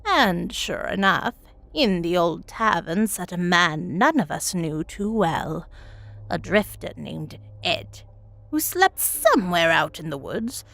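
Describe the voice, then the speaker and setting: Woman playing a slow-talking elderly village storyteller. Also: fairly low pitch, gravelly, a bit bright, no echo, heavily acted. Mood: grim. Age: old woman as played. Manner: slow, folksy, deliberate. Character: very elderly village woman telling a grim childhood tale. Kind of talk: dramatic first-person storytelling.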